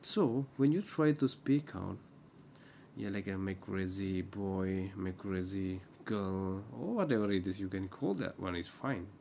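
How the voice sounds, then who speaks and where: A man's voice: deep voice, slow, gravelly, mellow, slight background noise. A man with a Javanese accent giving casual vlog tips, switching between English and Indonesian with many hesitant fillers. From Java, Indonesia. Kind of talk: casual commentary.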